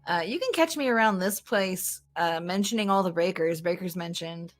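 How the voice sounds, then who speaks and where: A woman's voice: fairly low pitch, moderate pace, faint music behind. A woman co-commentating on a live game stream, casual and playful with dry jokes.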